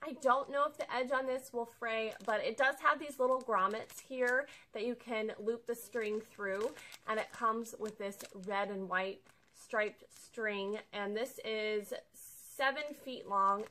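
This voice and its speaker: Young woman, casual vlog, bright tone, upbeat and chatty, living room, close camera. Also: a little high, steady tone, measured pace, slight background noise. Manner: chatty, upbeat, explains each item. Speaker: vlogger showing a shopping haul. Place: living room with a sofa, indoors.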